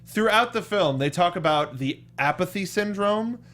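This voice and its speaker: A man's voice: high-pitched, animated, moderate pace, fairly bright tone. A man co-hosting a casual film review chat, acting out reactions in quoted asides. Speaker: co-host of a film review show.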